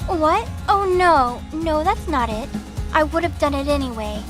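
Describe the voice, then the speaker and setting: Woman doing a high eager young gunslinger girl, earnest, audio drama with music under it. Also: a little slow. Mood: apologetic.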